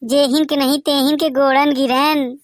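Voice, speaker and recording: A man with a Bagheli accent voicing a nagging, sharp-tongued village wife who curses and scolds. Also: very high voice, level tone, unhurried, soft background music. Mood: complaining. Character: shrill scolding village wife running for office.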